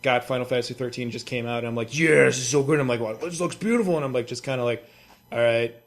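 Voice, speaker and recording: A man's voice: mid-range voice, fast. A young man co-hosting a podcast, chatting casually about video games in a gushing, profanity-heavy way. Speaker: podcast co-host.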